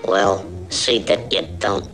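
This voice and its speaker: A man's voice: low voice, fairly even intonation, slow pace. A man voicing a short-tempered cartoon gangster boss, tough, curt and menacing. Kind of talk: classic theatrical cartoon acting. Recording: old cartoon soundtrack with loud music.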